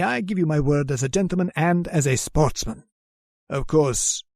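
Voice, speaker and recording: A theatrical, swooping aristocratic villain. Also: male voice, on the high side, darkish tone, no room sound, clean studio sound. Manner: theatrical, formal, mockingly courteous. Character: genteel, sadistic aristocratic general who hunts men, gloating and playful. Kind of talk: old-time radio drama acting.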